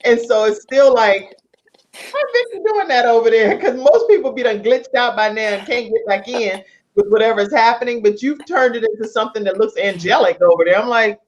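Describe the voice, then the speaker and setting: A woman hosting a casual live online talk show in a chatty, expressive, informal way over lo-fi call audio. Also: fairly high pitch, fairly quick. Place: live video stream from a studio setup.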